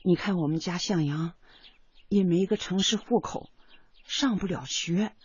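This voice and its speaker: A woman's voice: on the low side, unhurried, darkish tone, slightly rough, no echo. An actress playing a persistent, doting middle-aged mother, fussy and eager, in a radio drama. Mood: humble pleading. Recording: slightly dull audio, music behind.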